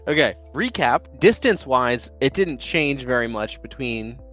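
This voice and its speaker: A man recovering from being sick, his voice deeper than usual, recapping a tabletop game as game master in a laid-back, methodical way. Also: lively intonation, unhurried, fairly bright tone, soft background music. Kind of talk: casual tabletop game narration.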